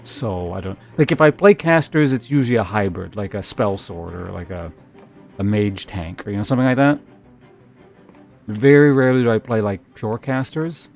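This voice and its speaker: Creaky-voiced man streaming a game. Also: fairly low pitch, normal speed. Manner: hesitant, thinking aloud with trailing half-sentences. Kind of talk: casual livestream gameplay chat. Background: faint game music.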